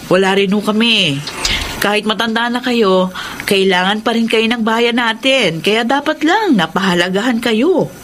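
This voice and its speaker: A woman's voice: on the high side, moderate pace, fairly bright tone, slight background noise, close to an everyday voice. A woman playing a caring but firm middle-aged mayor in a Filipino radio drama, earnest and authoritative, with a Filipino accent. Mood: warm, earnest.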